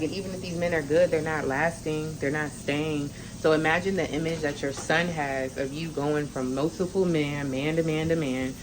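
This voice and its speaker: A young woman with a low voice speaks bluntly and with feeling, straight to her viewers in a candid vlog. Room noise sits clearly behind her voice. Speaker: vlogger. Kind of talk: candid talking-to-camera chat.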